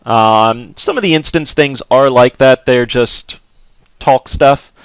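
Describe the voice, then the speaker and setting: A man casually commenting on his game playthrough, relaxed and rambling with fillers. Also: medium pitch, slow pace, smooth, dry recording. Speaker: gameplay commentator. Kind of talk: casual let's play commentary.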